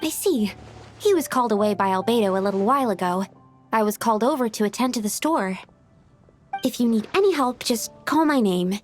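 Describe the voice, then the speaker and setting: A woman acts a bookish young female alchemist from a video game. Her voice is fairly high and soft, shy and courteous, with music playing behind her. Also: expressive, a little fast, close to an everyday voice. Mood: shy, polite. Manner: soft-spoken, hesitant, courteous. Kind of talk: video game character acting.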